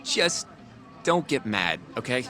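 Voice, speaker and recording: An earnest young man talks mildly and politely in a game scene, a bit hesitant and gently teasing. His pitch is average, with loud music behind. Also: big pitch swings, slow pace, heavily acted. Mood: hesitant, apologetic. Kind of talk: video game voice acting, conversational.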